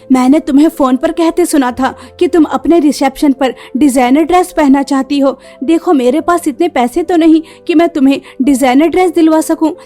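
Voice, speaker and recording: A woman's voice: high-pitched, warm tone, lightly acted. A woman voicing a kind, self-sacrificing young tailor sister-in-law in a cartoon, caring and earnest. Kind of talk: cartoon story dialogue. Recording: music behind the voice.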